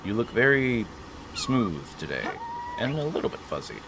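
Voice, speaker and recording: A man's voice: medium pitch, very animated, normal speed, noisy background. A man doing casual, joking let's-play commentary, chatting to himself and to game characters. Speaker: gaming streamer. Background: video game ambience.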